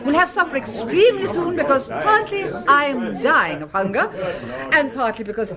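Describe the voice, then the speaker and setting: An actress playing a vivacious, playfully bossy party hostess in a radio comedy, with a gushing, breezy manner. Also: female voice, high-pitched, lively intonation, normal speed, crowd noise. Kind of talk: radio comedy drama acting. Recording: crowded party in a large room.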